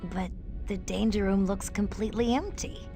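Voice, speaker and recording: A woman's voice: medium pitch, fairly even intonation, moderate pace, close to an everyday voice. A woman playing a curious, hesitant teenage newcomer in a game cutscene, with a questioning, unsure manner. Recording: music behind the voice.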